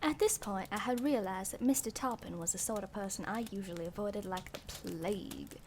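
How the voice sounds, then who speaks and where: A woman playing a wry, suspicious young journalist who narrates an amateur radio mystery in a deliberate, dry way. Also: mid-range voice, natural voice. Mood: wry, brooding. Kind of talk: scripted first-person mystery narration. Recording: faintly noisy home recording.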